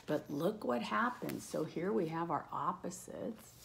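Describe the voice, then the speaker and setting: A woman's voice: on the low side, lively intonation, measured pace, vocal fry, fairly bright tone, slight background noise. A woman teacher reading a rhyming children's book aloud, with casual explaining asides spoken directly to young listeners.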